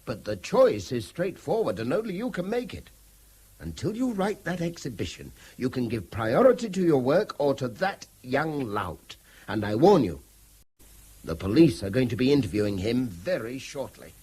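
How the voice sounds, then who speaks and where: An actor playing a stern, educated middle-aged English headmaster, formal and lecturing, in a British radio drama. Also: male voice, mid-range voice, sing-song, moderate pace, a little mellow, husky. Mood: stern, concerned. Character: stern, educated male headmaster figure, commanding and concerned.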